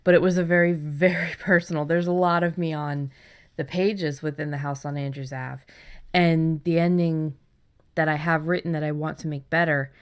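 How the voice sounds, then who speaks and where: A woman's voice: deep voice, fairly even intonation, normal speed, clean tone. A woman co-hosting a casual conversation about writing, talking candidly and with some self-deprecation. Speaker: podcast co-host and fiction writer.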